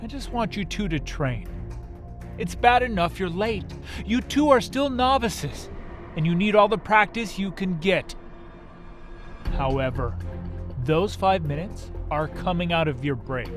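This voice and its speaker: A man's voice: sits high, lively intonation, measured pace, soft background music. A man playing a strict, irritated training master in an amateur comic dub, speaking in a formal, commanding, scolding way. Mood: displeased, strict. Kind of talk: amateur dubbed comic voice acting.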